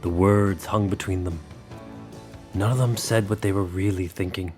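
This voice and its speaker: A man reads scripted, dramatic story narration. His voice is low, and music plays beneath his words. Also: steady tone, normal speed, warm tone. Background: music under the voice.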